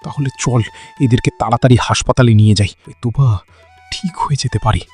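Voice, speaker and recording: A man's voice: mid-range voice, brisk, a little mellow, slightly rough, soft background music, lightly acted. A man playing a kind-hearted, earnest young son in a cartoon, with a questioning manner and a Bangladeshi accent. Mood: urgent, concerned. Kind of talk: cartoon voice acting.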